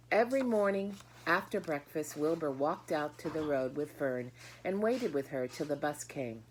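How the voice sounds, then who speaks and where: A woman's voice: extremely low pitch, a little mellow, slight background noise, rough recording. A woman reading a children's book aloud, speaking directly to her listeners with explanatory asides. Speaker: storybook reader.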